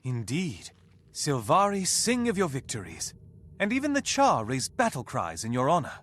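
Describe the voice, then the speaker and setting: A man's voice: fairly high pitch, wildly swooping pitch, normal speed, heavily acted. An actor playing a devoted plant-folk soldier in a video game, fervent and formal in his delivery. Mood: admiring. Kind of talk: dramatic video game cutscene acting. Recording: voice over loud game music.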